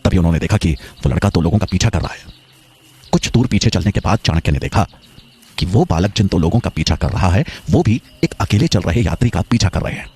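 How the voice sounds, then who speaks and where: A man's voice: low voice, expressive, fairly quick, a little mellow, slightly rough. A man narrating a scripted historical story in a dramatic storytelling style.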